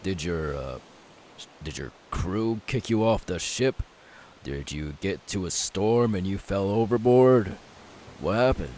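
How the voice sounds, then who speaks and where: A man playing an easygoing, kindly young pirate in an ASMR roleplay, soft-spoken and unhurried. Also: low-pitched, lively intonation. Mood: curious, reassuring. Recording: faint background hiss.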